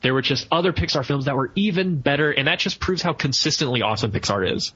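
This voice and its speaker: Man, bright crisp-edged tone, casual fan discussion, geeky, enthusiastic, podcast co-host. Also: mid-range voice, animated, fast talker, lo-fi sound. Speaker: podcast co-host reviewing films.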